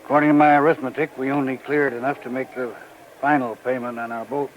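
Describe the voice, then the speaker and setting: An actor playing a hard-working, plain-spoken, caring husband in a 1940s radio drama. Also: male voice, mid-range voice, little pitch movement, quick pace, dull audio, natural voice. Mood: earnest, troubled. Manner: plain, folksy. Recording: noisy 1940s radio recording.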